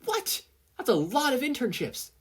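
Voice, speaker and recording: A narrator putting on a perky, high-pitched teenage girl. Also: moderate pace, husky, heavily acted. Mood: astonished. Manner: perky, excitable. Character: bubbly, eager, friendly teenage girl student.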